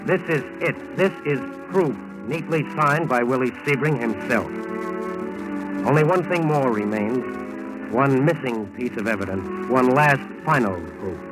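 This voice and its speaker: An actor playing a solemn, dramatic crime show narrator, reading in 1940s radio style on an old recording. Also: male voice, mid-range voice, measured pace, natural voice, rough recording. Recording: old radio recording, loud music behind. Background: loud dramatic music.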